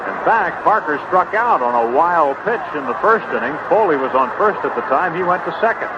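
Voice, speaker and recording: A muffled, very noisy old radio broadcast carries an American man calling baseball play-by-play. His voice sits a bit high as he reports the game from the ballpark booth. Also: expressive, brisk. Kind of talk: live radio sports commentary.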